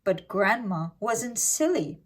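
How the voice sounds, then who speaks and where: A woman reading a scripted fairytale for children in an expressive, dramatic, deliberate way. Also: medium pitch. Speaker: children's storyteller.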